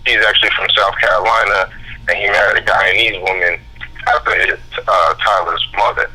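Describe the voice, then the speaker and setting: A man speaking as a guest on a talk radio show, heated and profane, telling his story fast and forcefully. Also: low-pitched, steady tone. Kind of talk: angry call-in radio talk. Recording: phone line.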